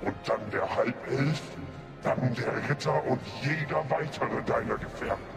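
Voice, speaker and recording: A man's voice: medium pitch, measured pace, close to an everyday voice. A man playing a menacing, imperious dragon in a fantasy radio drama, commanding and contemptuous. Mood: threatening. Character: menacing, imperious dragon guarding a stolen hoard. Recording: loud music under the voice.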